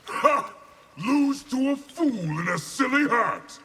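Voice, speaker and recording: A man's voice: extremely high pitch, lively intonation, slow pace, heavy background noise, full character voice. A man playing a boastful, brutal warlord general in a video game, scornful and aggressive. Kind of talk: video game character acting.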